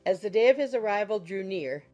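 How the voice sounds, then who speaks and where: A woman reading a classic novel as an audiobook narrator, in measured, formal storytelling style. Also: medium pitch, expressive, dark tone. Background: music under the voice.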